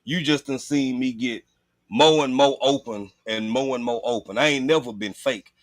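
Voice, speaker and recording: A man's voice: medium pitch, normal speed, no echo, studio quality. A man chatting casually on a livestream in a blunt, profane, informal way. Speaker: livestream host.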